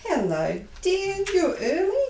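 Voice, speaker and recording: A woman's voice: very high voice, wildly swooping pitch, slow pace, gravelly, distant mic, full character voice. A woman voicing an elderly, doting mother who fusses and calls people dear, in an amateur radio play. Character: elderly mother, fussing and gentle. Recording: echoey room, off mic, soft music.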